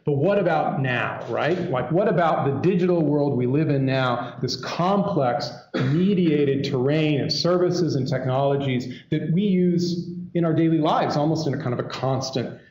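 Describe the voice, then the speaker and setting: A man's voice: fairly high pitch, moderate pace, few pauses, a little mellow. A man giving a keynote lecture in a conversational, digressive way with wry asides. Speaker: keynote speaker, academic lecturer. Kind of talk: conference lecture to an audience. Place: indoor lecture hall. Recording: echoey room, dull muffled sound.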